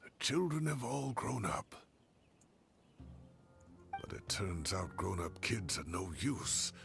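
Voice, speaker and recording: An actor playing a wry, fond older professor in a video game, theatrical and playfully grumbling, with a gravelly voice. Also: male voice, on the low side, big pitch swings, slow, full character voice. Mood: wistful. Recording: game music under the voice.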